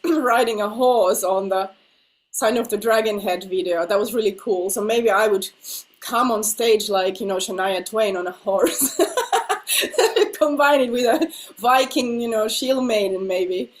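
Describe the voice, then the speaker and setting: A woman's voice: a little high, a little slow. A woman with a slightly nasal voice from being ill, whose first language is Finnish, chatting casually over a video call as the interviewed singer and laughing often.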